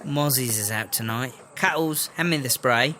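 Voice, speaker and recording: A man's voice: mid-range voice, moderate pace, bright, forceful tone, a little hiss or hum, close to an everyday voice. A man playing a crude, touchy English woman with a British accent in a comedy audio drama, sweary and sarcastic. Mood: grumbling, mocking. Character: crude, touchy English lady inmate, easily offended.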